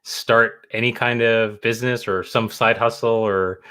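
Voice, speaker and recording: Bright-toned chatty male host. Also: medium pitch, fairly even intonation, a little slow, clean tone. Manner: chatty, enthusiastic, rambling questions, laughs often. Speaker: podcast host, interviewer. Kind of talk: casual interview conversation.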